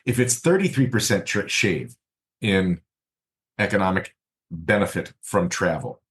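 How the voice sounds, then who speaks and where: A man hosting a podcast, talking analytically and building his points in a measured way. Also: on the low side, a bit bright. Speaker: podcast host.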